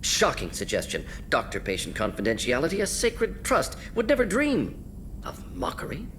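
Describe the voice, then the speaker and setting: A man voicing a brisk, eccentric alien scientist-doctor in a video game, speaking in clipped, deadpan clinical phrases with dry amusement. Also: fairly high pitch, slight background noise. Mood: playful deadpan.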